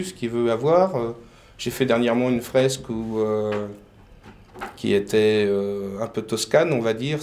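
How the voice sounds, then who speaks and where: A man's voice: mid-range voice, darkish tone. A man from France, a painter and decorator, answering interview questions hesitantly with many fillers.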